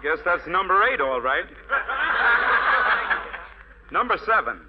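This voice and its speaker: A man's voice: on the high side, very animated, slow pace. A man playing a lenient army officer quizzing a soldier as the straight man in a 1940s radio comedy, on a muffled, noisy old broadcast. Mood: indulgent, official.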